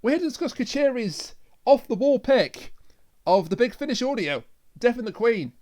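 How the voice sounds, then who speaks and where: A man's voice: very high voice, big pitch swings, normal speed, darkish tone. A man co-hosting a podcast, chatting casually through a review. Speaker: podcast co-host.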